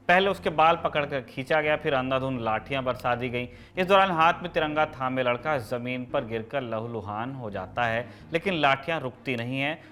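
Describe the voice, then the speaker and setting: A man's voice: medium pitch, a bit bright. A man presenting scripted news as the host of a show.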